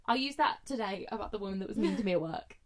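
A young woman co-hosting a podcast, talking loudly and animatedly while holding the microphone very close. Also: mid-range voice, quick pace, slight background noise. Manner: loud, animated ranting. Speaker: podcast co-host. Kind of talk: casual podcast chat. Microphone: handheld mic held close, no foam cover.

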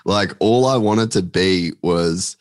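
A man's voice: deep voice, takes it slowly, dry recording, studio quality. A man talking casually as a podcast guest, rambling with many fillers and casual swearing. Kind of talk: casual chat.

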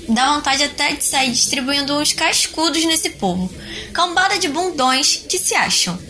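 A woman's voice: high-pitched, expressive, normal speed, over music. A woman playing a fed-up, feisty young physiotherapy student in an audio drama, talking in blunt slang. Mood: exasperated.

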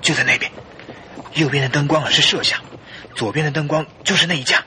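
An actor playing a tense, impatient, hot-tempered husband in a radio drama, urgent in manner and very raspy. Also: male voice, on the high side, fast talker, noisy background, close to an everyday voice. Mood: anxious, impatient.

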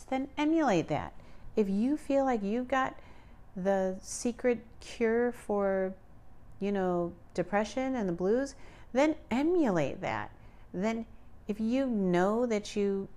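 A woman's voice: medium pitch, darkish tone. A woman hosting a solo podcast, talking earnestly and pleading with her listeners to be kind to each other. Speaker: podcast host.

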